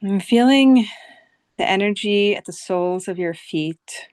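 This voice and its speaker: A woman leading a guided visualization meditation as a teacher, speaking soothingly in short phrases with long, unhurried pauses. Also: medium pitch, a little mellow, dry recording.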